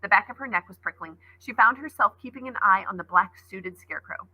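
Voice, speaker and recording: A woman's voice: mid-range voice, brisk, slightly rough, no room sound, highs cut off. A woman teacher reading a novel aloud expressively, adding brief asides.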